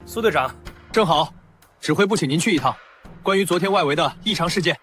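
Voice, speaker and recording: A man playing a dutiful, businesslike military messenger in an animated drama, speaking in a formal, official way. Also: a little high, fast, faint music behind. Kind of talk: animated web-drama dialogue.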